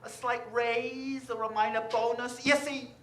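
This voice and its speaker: Woman playing a high-voiced, flustered store clerk, echoey. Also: flat delivery, unhurried, fairly bright tone, slight background noise. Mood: eager, flustered. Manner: stammering, flustered, deferential. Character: nervous, eager married male department store worker. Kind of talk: radio drama acting. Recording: roomy stage recording.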